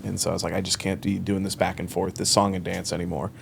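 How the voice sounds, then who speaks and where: A man's voice: low-pitched, level tone, quick pace, vocal fry, breathy edge. A man in his early thirties, a loan officer, telling his career story in a casual interview, acting out quoted conversations. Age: 30s. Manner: rambling storyteller, acts out quoted dialogue, laughs often. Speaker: guest, mortgage loan officer.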